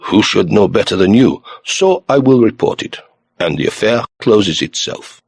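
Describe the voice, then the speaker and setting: A man voicing an excitable, pompous police commissary in an audiobook, florid and dramatic. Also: fairly low pitch, normal speed, vocal fry, husky, no room sound, heavily acted. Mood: formal, brisk.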